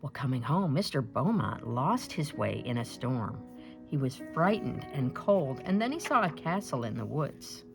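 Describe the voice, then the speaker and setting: A woman reads a children's story aloud in a dramatic, expressive way. Her voice sits on the low side and swings widely in pitch, with music playing clearly behind her. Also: moderate pace. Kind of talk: children's picture book read aloud.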